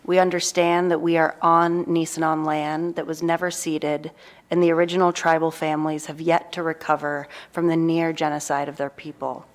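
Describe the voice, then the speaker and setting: A woman reading a formal land acknowledgment aloud at a public meeting, in a measured, solemn manner. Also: low-pitched, little pitch movement. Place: council chamber.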